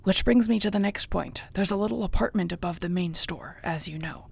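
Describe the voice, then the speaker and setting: A woman playing an affectionate orc warrior girlfriend in an intimate audio roleplay, speaking softly and tenderly with a husky voice. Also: fairly low pitch. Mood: warm, hopeful. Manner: soft, tender, unhurried, often near a whisper.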